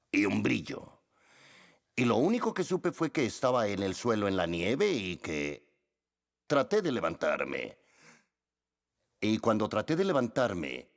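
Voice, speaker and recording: A man giving a halting, hesitant account as a war veteran in a dubbed documentary interview. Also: lowish voice.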